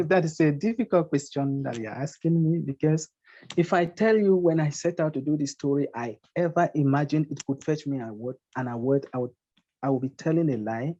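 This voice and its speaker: A man's voice: a little high, moderate pace, mellow. A man from Cameroon, a journalist, explaining his reporting fluently on a webinar over a video call. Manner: fluent, explanatory, repeats phrases. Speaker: award-winning journalist. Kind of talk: webinar panel answer. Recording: dull lo-fi call audio.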